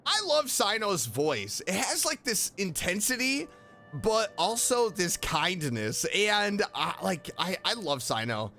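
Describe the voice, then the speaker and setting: A man hosting a casual reaction video as a game fan, talking in an enthusiastic, expressive way. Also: high voice, slow. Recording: music behind.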